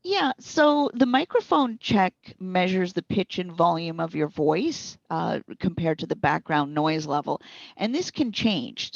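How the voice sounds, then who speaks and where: Low-voiced woman explaining, roomy sound. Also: vocal fry. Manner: conversational, instructive. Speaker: speech recognition trainer being interviewed. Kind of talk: explanatory interview talk. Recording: boxy roomy echo, slightly dull sound.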